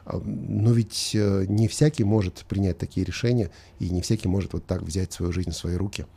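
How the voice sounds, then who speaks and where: A man's voice: deep voice, fairly even intonation, normal speed, vocal fry, warm tone, breathy edge. A man hosting a conversational talk show, questioning and arguing his points and acting out imagined remarks. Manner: argumentative, questioning, acts out imagined quotes.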